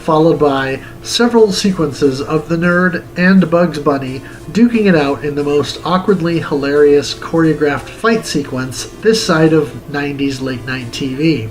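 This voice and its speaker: A man hosting a retro game review, reading a wordy script in a measured, presenter-like way. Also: fairly high pitch, rough recording. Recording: music bed under the voice. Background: music.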